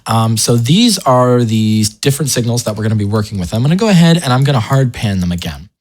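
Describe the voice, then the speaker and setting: A man hosting a casual software tutorial, talking informally with wry asides and interrupting himself as he goes. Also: mid-range voice, very animated, fast talker. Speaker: tutorial host.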